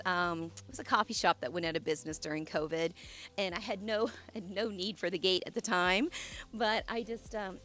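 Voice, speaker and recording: A woman in her forties, hoarse from a cold, chatting casually as she leads a tour of her garden outdoors. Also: mid-range voice. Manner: chatty, warm asides with fillers and restarts. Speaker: landscape designer and channel host. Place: outdoors in her own garden side yard. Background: soft music and running water.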